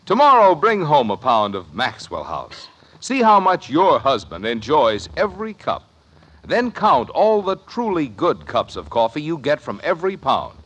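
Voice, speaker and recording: A man's voice: on the high side, wildly swooping pitch, unhurried, a bit bright, muffled recording. A man with an American accent reading a polished, persuasive 1950s radio advertisement as an announcer. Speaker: commercial announcer.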